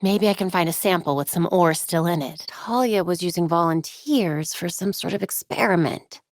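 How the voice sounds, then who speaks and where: A woman's voice: fairly low pitch, normal speed, no room sound, natural voice. Woman as young vigilante heroine, dry studio sound. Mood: focused. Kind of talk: video game voice acting, short scripted lines.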